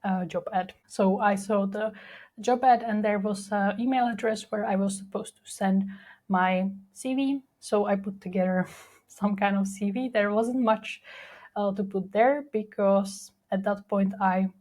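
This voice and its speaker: A woman's voice: medium pitch, fairly even intonation, unhurried, a little mellow. A young woman with a Czech accent, hosting a podcast and telling her own story in a candid, reflective way with frequent fillers. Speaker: podcast host. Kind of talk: personal storytelling monologue.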